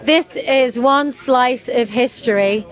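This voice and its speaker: High voiced woman host, bubbly n keen, chatting to guests at a bike event. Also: slow pace, some chatter behind. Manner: bubbly, enthusiastic presenter. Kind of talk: event coverage and interviews. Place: marquee at an outdoor bike event.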